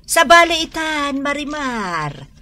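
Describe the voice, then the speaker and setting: A woman's voice: sits high, very animated, slow, bright, forceful tone, a little hiss or hum, exaggerated voice. A woman playing a bossy, self-important older mother with an Ilocano accent in a comedy radio drama.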